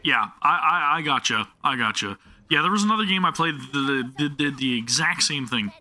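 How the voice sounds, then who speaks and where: A man's voice: fairly high pitch, expressive, moderate pace, pushed and bright. A young man chatting through a live game stream, self-deprecating and often restarting his sentences. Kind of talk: live gameplay commentary.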